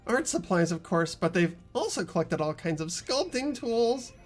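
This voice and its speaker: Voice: medium pitch, very animated, normal speed, soft background music. A performer voicing a pompous, nerdy young male anime fan in a game read-aloud. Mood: pompous, geeky.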